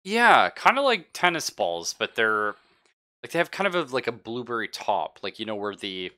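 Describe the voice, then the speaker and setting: A man acting as game master, narrating casually and haltingly as he thinks aloud. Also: mid-range voice, big pitch swings, pushed and bright. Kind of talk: casual narration of a tabletop roleplaying game, live stream.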